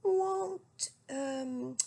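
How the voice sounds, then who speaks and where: A woman's voice: high voice, very slow, creaky, dark tone, pitched above the performer's own voice, heavily acted. A woman as a tired, firm mother owl. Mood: coaxing.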